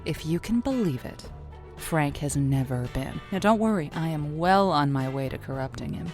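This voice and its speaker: A woman's voice: low-pitched, lively intonation, moderate pace, a little mellow, soft background music. A woman playing a chatty, flirty radio matchmaking host with a playful, theatrical delivery in an audio drama. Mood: playful, sultry. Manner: theatrical, playful, wordplay-loving.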